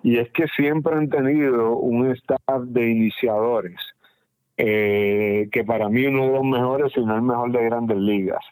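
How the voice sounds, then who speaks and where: A man's voice: medium pitch, steady tone, unhurried, darkish tone, smooth, no room sound, rough recording. A middle-aged man with a Puerto Rican accent, a baseball general manager answering radio interview questions with many restarts and fillers. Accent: Puerto Rican Spanish. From Puerto Rico.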